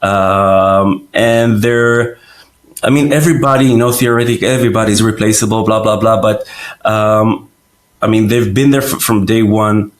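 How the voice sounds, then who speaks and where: A man from Israel, a company founder answering interview questions in a hesitant way with many pauses. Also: on the low side, steady tone. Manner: hesitant, many fillers and restarts.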